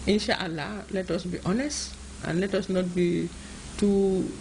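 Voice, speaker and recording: A woman from Gambia, a director, speaking earnestly and urging people on in a measured interview answer. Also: lowish voice, fairly even intonation, creaky, darkish tone, slight background noise, rough recording. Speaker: women's affairs director.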